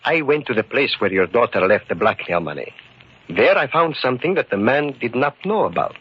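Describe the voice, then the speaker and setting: An actor playing an earnest immigrant shoemaker turned amateur detective in a radio mystery, speaking in careful, formal phrases. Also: male voice, mid-range voice, sing-song, fairly quick, slightly rough. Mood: methodical. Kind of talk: old-time radio mystery acting. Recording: muffled vintage radio recording.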